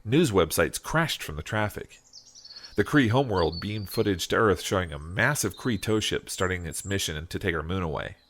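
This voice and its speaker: A man's voice: sits low, fairly bright tone. A man playing an earnest, self-doubting husband in an audio drama, with a hesitant, weary way of talking. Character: earnest, self-doubting husband burdened with a world-changing decision. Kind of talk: audio drama acting with first-person narration. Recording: noticeable background noise.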